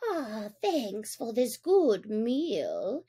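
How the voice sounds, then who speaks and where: Lady doing a sneaky jackal guy for a kids story, kinda high, draggy slow, sucking up but nasty. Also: very animated, warm tone, slightly rough, full character voice. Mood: oily flattery. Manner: obsequious, mock-humble, drawn-out wheedling. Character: sly, fawning, spiteful male jackal scavenger.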